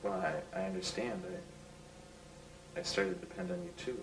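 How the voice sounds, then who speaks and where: An actor playing a shy, gentle man who speaks softly and hesitantly, full of pauses, recorded from a distance. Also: deep voice, wildly swooping pitch, gravelly, reverberant, noisy background, full character voice. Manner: soft-spoken, hesitant, awkward. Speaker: neighbor invited to dinner. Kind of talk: film dialogue. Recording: distant mic.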